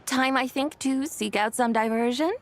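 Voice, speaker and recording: A woman's voice: sits high, moderate pace. A woman playing a whimsical, lofty self-styled immortal in a video game, with theatrical, grand and archaic phrasing. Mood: lofty, curious. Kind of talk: video game character acting.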